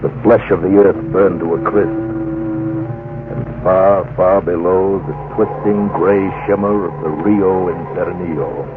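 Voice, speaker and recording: An actor playing a solemn expedition surveyor who recounts his ordeal deliberately, in old radio drama style. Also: low-pitched, steady tone. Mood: grave, foreboding. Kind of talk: 1940s-50s radio drama narration. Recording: muffled old radio recording, music underneath.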